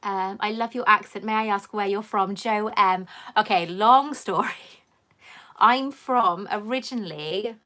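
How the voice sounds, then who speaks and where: Woman, middle-aged, mixed english-welsh accent, crisp bright tone, reading comments on a live stream. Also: medium pitch, a little slow. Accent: mixed southern English and Welsh. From England, raised in Wales. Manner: chatty, reads viewer comments aloud, laughs often. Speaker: host.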